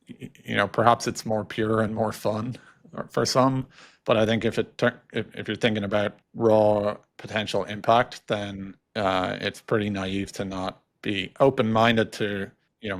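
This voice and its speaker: A man's voice: lowish voice, vocal fry. A middle-aged man giving thoughtful interview answers as a guest, speaking hesitantly and often repeating his words. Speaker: podcast guest, technology executive.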